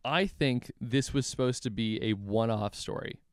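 Young man, podcast co-host, jokey and opinionated, clear voice, dry close mic. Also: mid-range voice, moderate pace, no echo. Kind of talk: casual chat.

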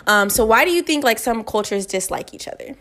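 A woman's voice: fairly high pitch, lively intonation, brisk, fairly bright tone. An American woman interviewing in a casual, conversational way, with informal, loosely phrased questions.

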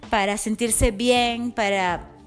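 A woman's voice: a little high, steady tone, takes it slowly, faint music behind. A middle-aged woman hosting a wellness podcast, giving an enthusiastic, affectionate, chatty motivational monologue. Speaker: wellness coach podcast host.

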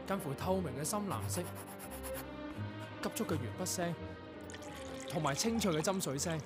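An actor in a Cantonese radio drama playing a tormented young composer, curt and dramatic, with a Hong Kong accent. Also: male voice, fairly high pitch, normal speed, over loud music, natural voice. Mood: intense, frantic dictation. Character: tormented young male composer, curt, anguished, losing control of his ears.

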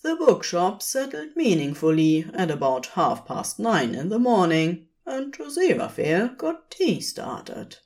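Slow, lively-pitched woman storyteller. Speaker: podfic reader. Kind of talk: scripted fiction narration.

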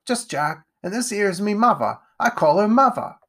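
A man voicing a poor, eager young boy with rough, common speech in a storybook reading. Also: sits high, expressive, normal speed, fairly bright tone, pitched above the performer's own voice, heavily acted.

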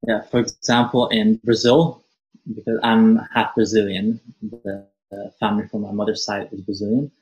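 A man's voice: lowish voice, moderate pace, vocal fry, darkish tone, rough recording. A nineteen-year-old man from Norway, talking earnestly as a guest in a casual interview, with a thoughtful manner and frequent fillers.

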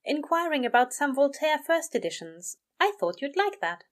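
A woman voicing a gentle, earnest male angel bookseller in an audio story, soothing, polite and a little prim. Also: high voice, very animated, moderate pace, voice pushed up.

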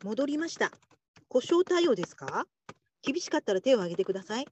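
A woman's voice: a little high, very animated, a little fast, darkish tone, muffled recording, slight background noise. A woman playing a practical call-centre supervisor, giving businesslike instructions in a radio drama.

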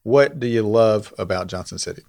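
A man's voice: fairly low pitch, a little fast, vocal fry, darkish tone. A man hosting a podcast, upbeat and chatty as he interviews a guest. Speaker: podcast host.

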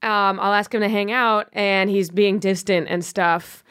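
A woman's voice: mid-range voice, fairly even intonation, a little slow, a bit bright, clean tone. A woman in her thirties, a comedian guest telling a story bluntly and profanely in casual podcast chat. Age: 30s.

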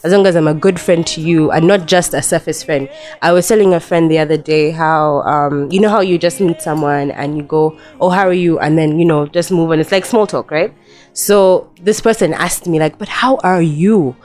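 Young female podcast guest, congested and nasal, chatty and laughing, low-pitched, faint music bed. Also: normal speed, darkish tone. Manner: giggly, chatty, laughs often. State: nasal from a cold. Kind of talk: casual podcast chat.

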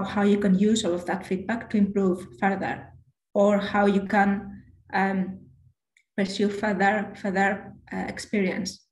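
Woman guest, low voice, flat even delivery, measured and self-correcting, echoey room. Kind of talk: careers advice interview.

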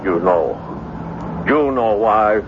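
An actor playing a fearful, fatalistic captive ship's captain in a 1970s radio drama, halting and full of foreboding. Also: male voice, fairly low pitch, lively intonation. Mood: fatalistic dread. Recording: muffled, noisy old radio broadcast.

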